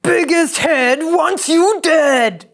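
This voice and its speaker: A woman's voice: very high voice, drawn-out words, exaggerated voice. A woman voicing a boastful, dim-witted, menacing alien warlord who speaks in blunt broken grammar at a sci-fi table read. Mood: angry, threatening.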